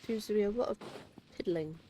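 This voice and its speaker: A woman's voice: medium pitch, a little fast, warm tone, a little hiss or hum. A woman chatting casually and crudely as she streams herself playing a video game. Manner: informal, crude joking. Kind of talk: casual livestream gameplay chat, reading game dialogue aloud.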